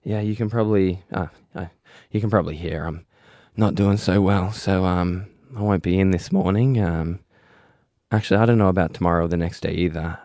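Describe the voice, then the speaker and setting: Man faking croaky sick caller, low hoarse mumble, halting ums, dry close sound. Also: steady tone, darkish tone, no room sound. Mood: feebly ill. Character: man faking a sick call to his boss.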